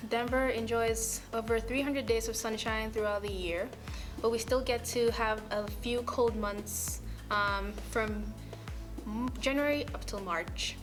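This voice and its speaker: Young woman from ghana, kinda high voice, chatty and relaxed, echoey room, far from mic. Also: unhurried. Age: young adult. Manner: relaxed, chatty, hesitant fillers. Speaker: international student. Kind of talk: casual informational video. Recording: roomy, mic at a distance, music underneath.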